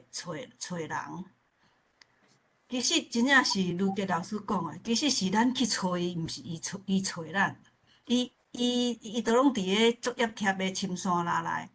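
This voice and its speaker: Chatty Taiwanese woman, medium pitch. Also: a bit bright. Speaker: forum participant. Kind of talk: casual anecdotal sharing.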